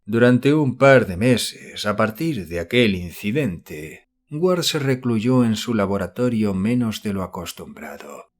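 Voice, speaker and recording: Measured male audiobook narrator. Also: lowish voice, expressive. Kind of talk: scripted narration of a horror novel.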